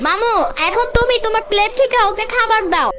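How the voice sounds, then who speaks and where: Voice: very high-pitched, brisk, very noisy. A performer voicing a bossy, cheeky little boy in a comedy cartoon, demanding and indignant, with a Bangladeshi accent. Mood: insistent. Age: child figure. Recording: loud music behind the voice. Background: loud music.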